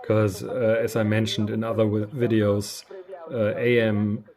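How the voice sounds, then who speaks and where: Slow-spoken, halting man. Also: on the low side, flat delivery, vocal fry, crowd noise. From Germany. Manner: halting, hesitant delivery with frequent pauses. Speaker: radio reviewer. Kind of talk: casual unscripted product review.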